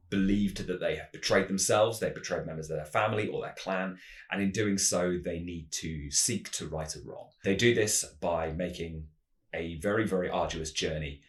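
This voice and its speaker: A man hosting a tabletop roleplaying channel, giving scripted explanations in a measured, storyteller-like way. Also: low voice, echoey room.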